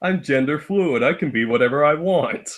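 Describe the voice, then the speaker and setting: A man's voice: a little high, lively intonation, normal speed. A man chatting casually as a guest co-commentator, reacting with short remarks. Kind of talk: casual chat.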